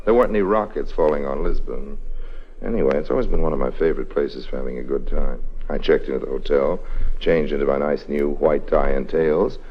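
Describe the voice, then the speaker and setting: An actor playing a wry, easygoing rogue adventurer in a 1950s radio drama, talking casually and a little bemused. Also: male voice, rumbling low, brisk, breathy edge, exaggerated voice. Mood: wry narration. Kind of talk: 1950s radio drama acting and first-person narration. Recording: muffled old radio recording. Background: music.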